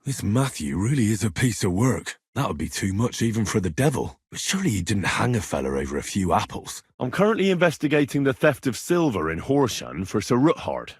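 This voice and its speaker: A British actor playing an earnest, curious young blacksmith's son in a medieval video game, speaking in a plain, inquisitive and informal way. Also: male voice, lowish voice, very animated, a little fast, few pauses, breathy edge, no room sound, clean studio sound, heavily acted. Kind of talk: game dialogue lines.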